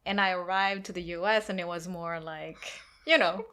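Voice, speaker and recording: A woman talks easily as a podcast guest. Her voice is bright and forward, and she laughs often. She fills her sentences with "you know" as she thinks aloud. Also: on the low side, fairly even intonation, normal speed. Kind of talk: casual podcast conversation.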